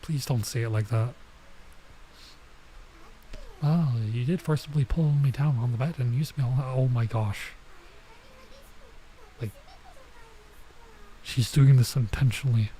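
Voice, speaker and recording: A man with a soft-edged, relaxed voice of medium pitch reads a visual novel aloud as a streamer. He slips between reading the text and commenting on it casually. Some ambient noise sits behind his voice. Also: a little slow, pauses often, poor audio. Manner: stops to remark on and reread the text. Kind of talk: let's play read-aloud with casual side comments.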